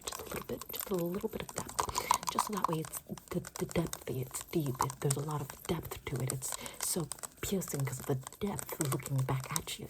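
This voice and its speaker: A woman's voice: low-pitched, noisy background. A woman doing an ASMR roleplay as a makeover stylist, murmuring repetitive, reassuring step-by-step instructions in a personal-attention style.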